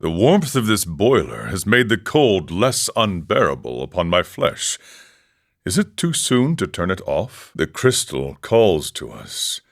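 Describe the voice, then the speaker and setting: A man voicing an older, serene and wry wandering ascetic monk in a video game, speaking in a solemn, measured, philosophical way. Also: sits low, sing-song, exaggerated voice. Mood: calm, wry. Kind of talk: scripted game character dialogue.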